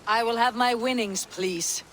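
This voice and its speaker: An actress playing a stoic, proud Norse warrior woman in a video game, speaking in a terse, firm, measured way. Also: on the high side, a bit bright, a little hiss or hum, poor audio. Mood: challenging.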